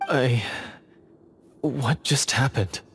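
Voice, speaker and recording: A man's voice: mid-range voice, drawn-out words, a bit bright, slight background noise. An actor voicing a troubled, remorseful young swordsman in a video game, speaking in a hesitant, earnest, brooding way. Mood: dazed, startled. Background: background music.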